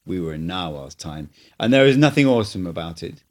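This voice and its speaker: A man voicing an old male sorcerer and teacher in an audiobook, speaking in a measured, instructive way. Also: low voice, sing-song. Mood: patient. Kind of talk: audiobook dialogue reading.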